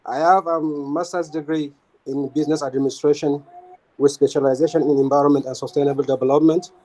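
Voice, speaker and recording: Male student, formal intro, bit high, on a video call. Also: little pitch movement, a little fast, mellow, rough recording. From Bauchi State, Nigeria. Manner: formal, listing his qualifications.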